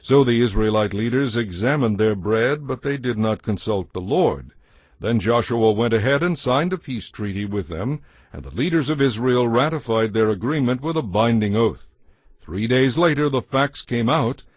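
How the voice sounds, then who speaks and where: A man narrating scripture from a script in a measured, solemn, dramatic way. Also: mid-range voice, expressive, dry recording. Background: music.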